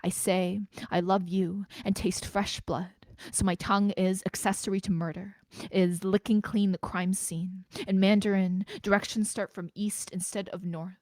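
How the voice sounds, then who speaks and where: A woman's voice: mid-range voice, flat delivery, normal speed, a little mellow, no echo. A woman, a spoken word poet performing and introducing her own work. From Canada, Greater Toronto Area.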